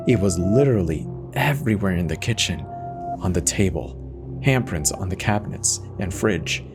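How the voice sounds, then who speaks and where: A man reading a first-person horror story as a dramatic scripted narration. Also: low voice. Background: music.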